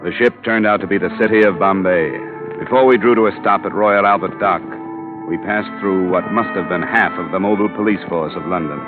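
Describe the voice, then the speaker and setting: Hard-boiled American male investigator played by an actor, low and clipped, on a muffled old radio broadcast. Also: fairly quick, music in the background, natural voice. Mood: matter-of-fact. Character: tough, businesslike American investigator hunting criminals. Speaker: lead actor and narrator. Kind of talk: 1950s radio detective acting.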